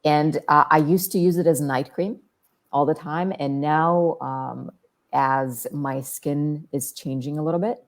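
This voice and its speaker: A woman guest with a deep, calm, soothing voice, chatting in an easygoing way about her skincare routine. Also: unhurried. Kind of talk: casual chat about skincare.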